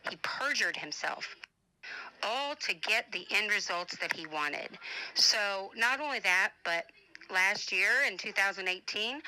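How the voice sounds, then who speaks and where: Middle-aged woman with a very bright, forceful voice. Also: medium pitch, rough recording. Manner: blunt, indignant, defensive; swears freely. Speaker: advocate and online broadcaster. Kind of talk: informal voice message monologue.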